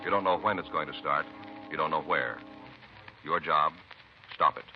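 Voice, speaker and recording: A very deep-voiced American radio announcer speaks with solemn, dramatic gravity. Loud music plays behind him, and the vintage recording is muffled. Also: male voice. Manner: solemn, dramatic, measured phrasing. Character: solemn male radio announcer setting the scene. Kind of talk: 1950s radio announcing, scripted narration.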